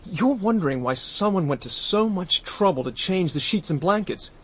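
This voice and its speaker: A man's voice: sits high, expressive, normal speed, fairly bright tone. A man playing a brash tough-talking young man. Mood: tense. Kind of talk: radio drama acting.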